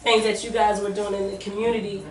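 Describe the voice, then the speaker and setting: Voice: mid-range voice, little pitch movement, fast talker, soft background music. Easygoing radio co-host, roomy sound. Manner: affirming, easygoing questioner. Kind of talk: casual interview chat. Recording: roomy, distant mic with faint ambience.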